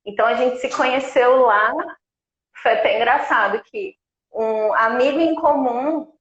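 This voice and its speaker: A woman's voice: fairly high pitch, a little slow. A woman of forty, a guest nutritionist telling her story in a conversational way over a remote call. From Brazil. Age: 40s. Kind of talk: casual live chat. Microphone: remote video call. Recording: echoey, dull remote call audio.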